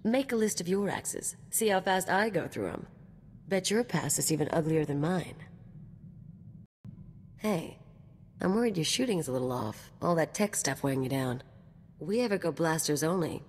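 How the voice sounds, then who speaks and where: A woman's voice: low voice, animated, moderate pace, a little mellow, long reverb tail, a little hiss or hum. An actress playing a brash, swaggering anarchist mercenary woman in a video game, with casual, teasing, slangy talk. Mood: cocky, teasing. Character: brash, cocky female anarchist mercenary companion.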